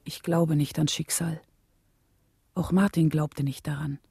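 An actress playing a grave widow who narrates a radio play in a sober, measured way. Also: female voice, low voice, fairly even intonation, vocal fry, warm tone, breathy edge, no room sound, clean studio sound, heavily acted. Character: Coretta King, grave widow recounting her husband's death.

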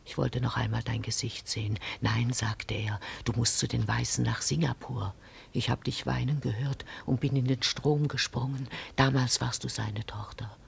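A woman playing a vengeful, hard older mother in a radio play, intense and commanding, in a hoarse, breathy half-whisper. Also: very deep voice, fairly even intonation, moderate pace, a little hiss or hum, heavily acted. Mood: bitter fury.

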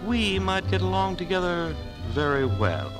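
A man playing an oily, suggestive employer, smooth and insinuating, in a 1940s radio drama. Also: high-pitched, very animated, a little slow. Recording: reverberant old radio broadcast, loud music.

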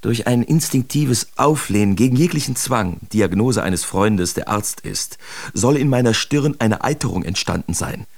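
An actor playing a sardonic, self-absorbed bohemian letter writer in a literary radio drama, with theatrical, deliberate diction. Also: male voice, fairly low pitch, lively intonation, vocal fry, no room sound. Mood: sardonic relief. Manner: theatrical, deliberate, ornate diction with pointed emphasis.

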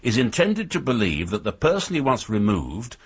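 An actor playing a shrewd, methodical British police inspector in a radio drama, speaking in a measured, formal way with a husky voice. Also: male voice, medium pitch, huge pitch swings, full character voice. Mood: calmly relentless.

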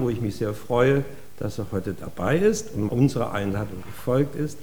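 A man with a medium-pitched voice introduces a concert from the stage. He speaks deliberately and wryly, leaving theatrical pauses between phrases. The live recording has clear background noise. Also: darkish tone.

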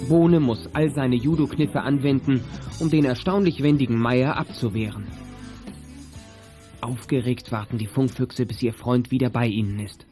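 An actor as the narrator of a suspenseful German youth detective radio play, reading scripted narration. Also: male voice, medium pitch, close to an everyday voice. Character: male storyteller of a suspenseful youth detective tale. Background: music.

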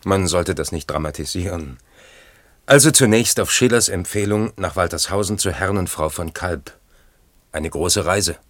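Sober commentator in a radio drama, deep voice, measured, matter of fact, dry booth sound. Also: male voice, a bit bright, no room sound. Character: sober male commentator recounting a poet's life. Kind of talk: literary radio play narration.

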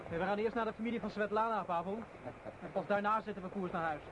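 Guy acting an eager young lovesick rider, earnest, really high voice, noisy old radio drama. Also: fast talker, natural voice. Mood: eager, teasing. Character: eager young lovesick horseman, impatient and loyal.

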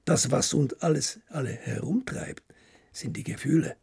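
A man with a husky, gravelly voice giving interview recollections as an author, haltingly, often ending phrases with tag questions. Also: medium pitch, expressive, a little mellow, no echo, studio quality. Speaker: author of radio plays, guest.

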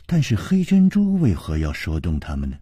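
A man's voice: low-pitched, huge pitch swings, moderate pace, mellow, breathy edge, dry recording, studio quality, heavily acted. A man voicing a troubled, puzzled martial-arts hero in a storybook, in a hesitant, bemused manner. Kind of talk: Chinese wuxia storybook character voice.

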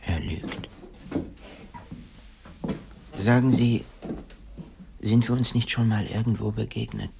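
A man's voice: lowish voice, steady tone, takes it slowly, creaky, long pauses, mellow, a little hiss or hum. An actor playing an anxious, lovesick young man searching for his girlfriend in a surreal radio drama, bewildered and insistent. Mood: searching, confused.

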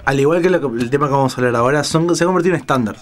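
A man hosting casual music talk, halting at times and repeating his phrases. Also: mid-range voice. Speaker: radio show host.

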